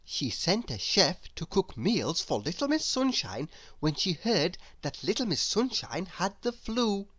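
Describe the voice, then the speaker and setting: A woman reading a children's story aloud in an expressive, deliberate, theatrical way. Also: fairly low pitch, breathy edge, no room sound. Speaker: storybook reader.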